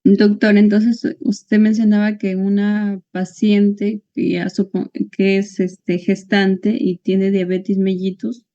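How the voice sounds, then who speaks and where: Slow, flat-voiced woman student. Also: medium pitch, no echo. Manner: hesitant questioning. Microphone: video call. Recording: dull lo-fi video call audio.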